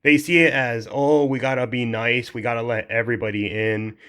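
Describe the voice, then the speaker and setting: A man's voice: mid-range voice, steady tone, moderate pace, bright, forceful tone, clean tone. A young man commenting in a casual, heated rant, blunt and swearing freely, often restarting his sentences.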